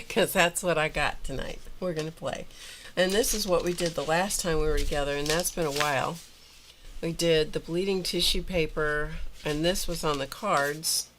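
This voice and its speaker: A woman with a notably low voice talks casually while crafting, thinking aloud as she goes. Her voice sounds a little congested. A faint hiss sits under the recording. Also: a bit bright. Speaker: livestream art host. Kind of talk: casual craft livestream chat. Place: home art studio.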